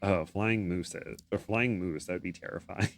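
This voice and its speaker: A man's voice: sits low, unhurried, vocal fry, dry recording. A man co-hosting a podcast, chatting casually and reacting in a playful, giggly way. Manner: giggly, playful, puts on silly quotes. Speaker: podcast co-host.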